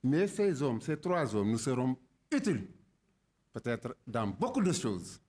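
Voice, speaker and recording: Lively-voiced parents' representative. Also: male voice, mid-range voice, measured pace, breathy edge. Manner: declamatory, rising on key words. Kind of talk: formal speech.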